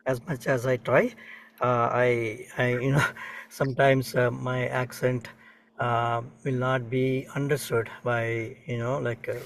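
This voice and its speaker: A man's voice: mid-range voice, flat delivery, takes it slowly, distant mic. A middle-aged man with an Indian accent, sharing a personal story in a group session, hesitant and full of fillers. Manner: hesitant, many fillers, soft-spoken. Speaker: participant.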